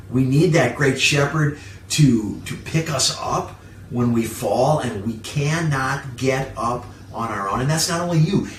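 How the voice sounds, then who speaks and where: Guy preaching a sermon, slow and emphatic, lots of pauses, echoey distant mic w a bit of noise. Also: mid-range voice. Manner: emphatic repetition, rhetorical questions, frequent pauses. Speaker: pastor. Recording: roomy echo, distant mic, faint noise.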